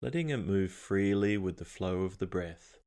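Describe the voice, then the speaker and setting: A man narrating a guided meditation as an instructor, calm, soothing and unhurried. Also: low voice.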